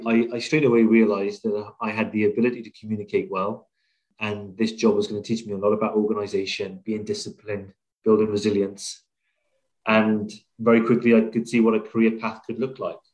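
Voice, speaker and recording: A middle-aged man from Wales with a British accent, talking conversationally and reflectively as a podcast guest, with frequent pauses. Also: fairly low pitch, low-quality recording. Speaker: podcast guest, business leader. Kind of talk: conversational interview, telling own career story.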